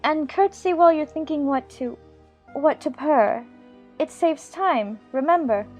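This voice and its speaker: A woman's voice: sits high, a little slow, darkish tone, faint music behind. A woman playing a chatty, imaginative little girl in an audiobook, fond and bossy, prattling on in playful scolding. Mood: triumphant, bossy. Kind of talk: dramatized audiobook character acting.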